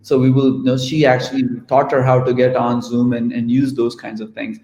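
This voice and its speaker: A man speaks on a video call panel in an easy, talky way, dropping "you know" and "right?" between points. His voice sounds roomy, with a clear echo around it. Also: medium pitch, a little fast, a little hiss or hum. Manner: relaxed, conversational, frequent "right?" and "you know". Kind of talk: online panel discussion.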